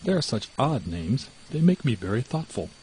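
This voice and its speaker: A man's voice: medium pitch, very animated, normal speed, darkish tone, a little hiss or hum, natural voice, rough recording. A man voicing a small, trusting female mouse in a storybook, earnest, wondering and given to exclaiming. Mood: puzzled. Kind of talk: storybook character voice.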